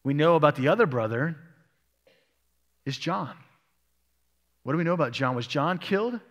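A man's voice: fairly high pitch, expressive, normal speed, fairly bright tone. A male pastor preaching a sermon, reading scripture aloud and asking his congregation questions. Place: church sanctuary. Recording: long church hall reverb.

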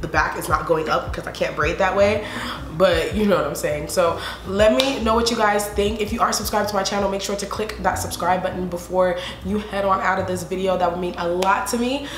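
A young woman in her twenties with a stuffy voice while recovering from a sore throat, chatting to viewers in a hair tutorial vlog from her apartment. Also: mid-range voice. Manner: chatty, laughing, addresses viewers directly. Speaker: beauty vlogger. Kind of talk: casual hair tutorial chat. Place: apartment living room.

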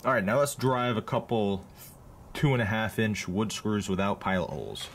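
A man's voice: fairly low pitch, animated, normal speed, slight background noise. A man giving a casual, plainspoken tool review, with frequent ums and uhs. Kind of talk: casual product review voice-over.

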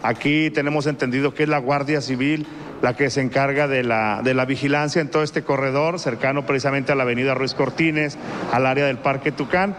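A man's voice: mid-range voice, fairly even intonation, brisk, a bit bright, slight background noise. A middle-aged man from Mexico, reporting live from a street, speaking off the cuff with fillers and restarts. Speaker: field reporter. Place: outdoors on a residential street. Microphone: handheld mic.

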